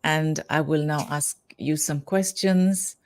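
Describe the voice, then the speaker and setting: A woman with a somewhat low voice hosts an interview at an unhurried pace. She frames her questions in an encouraging way. Her delivery is measured and steady. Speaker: interview host. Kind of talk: scripted interview introduction and questions.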